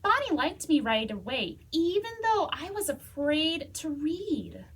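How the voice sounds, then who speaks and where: A woman reading a children's storybook, voicing an earnest, encouraging young girl with a gentle, expressive manner. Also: high voice, a little slow, distant mic, full character voice. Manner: expressive, gentle, sometimes whispering. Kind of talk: children's storybook read-aloud.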